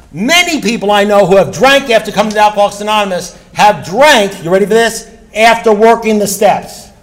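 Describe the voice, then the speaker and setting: High-pitched guy giving a fired-up recovery talk, blunt, repeats himself, cusses a bit. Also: fairly bright tone. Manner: blunt, emphatic, repeats points, swears. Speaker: speaker at a recovery meeting.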